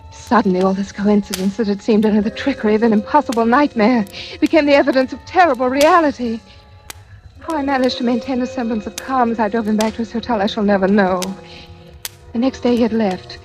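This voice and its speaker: Woman acting a high-voiced, earnest radio heroine. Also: a little fast, a little mellow, faint music behind, natural voice. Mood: dramatic narration. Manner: earnest, dramatic. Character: frightened, brave, pleading young heroine. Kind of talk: 1940s radio drama acting. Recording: noisy vintage radio recording with clicks.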